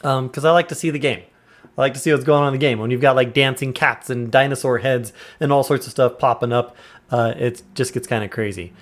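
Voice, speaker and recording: A man in his thirties talks through a game on a live stream in a deliberately hushed voice, loose and chatty. His pitch sits in an ordinary middle range, and he reads out viewers' names as he goes. A desk mic in a quiet room at home picks him up up close. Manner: chatty, relaxed, greets viewers by name. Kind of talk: casual live-stream chat while gaming.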